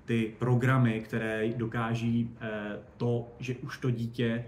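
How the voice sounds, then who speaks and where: A man's voice: mid-range voice, level tone, darkish tone, slight background noise. A man giving conversational, enthusiastic and discursive answers as a guest expert on spaceflight.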